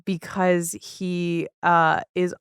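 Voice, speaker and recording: A woman's voice: on the low side, level tone, clean tone, no room sound, clean studio sound. A woman hosting a casual podcast conversation, chatty and rambling in the way she talks. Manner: chatty, rambling, quick to laugh. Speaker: podcast host.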